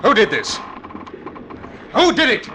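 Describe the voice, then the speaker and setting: An actor playing a stern, anxious 1700s ship's captain in old-time radio drama, telling his tale gravely and dramatically. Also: very high voice, wildly swooping pitch, takes it slowly, background noise, heavily acted. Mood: angry, commanding. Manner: dramatic, grave first-person storytelling. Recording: old radio recording with music behind.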